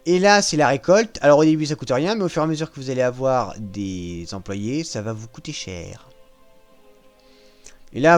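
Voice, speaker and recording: A man casually walking viewers through a strategy video game, joking at his own expense and pausing often with fillers. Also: fairly high pitch. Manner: self-mocking, hesitant with frequent fillers. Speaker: gaming channel host. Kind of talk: casual gameplay walkthrough.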